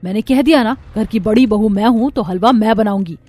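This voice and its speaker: A woman voicing a proud, jealous young daughter-in-law in an animated family story, arguing emphatically. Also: mid-range voice, brisk, no echo, soft background music, close to an everyday voice. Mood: boastful, challenging. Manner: emphatic, argumentative.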